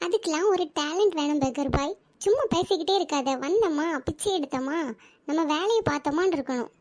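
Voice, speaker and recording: A woman's voice: high-pitched, lively intonation, a little fast, darkish tone. A performer voicing a scheming young female fake beggar in a cartoon, talking in a cocky, wheedling way. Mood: boastful. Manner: cocky, wheedling begging chant. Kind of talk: cartoon voice acting.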